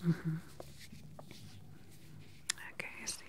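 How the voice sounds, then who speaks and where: A woman in her thirties speaks in a soft, breathy whisper close to the mic, gentle, clinical and unhurried, as a doctor in an ASMR exam roleplay. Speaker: ASMR performer playing a doctor. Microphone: close ASMR microphone.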